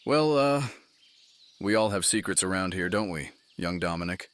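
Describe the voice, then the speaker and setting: A man playing a gruff, wry, fatherly commander in a fantasy audio drama, speaking haltingly. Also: low voice, animated, a bit bright. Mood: curious.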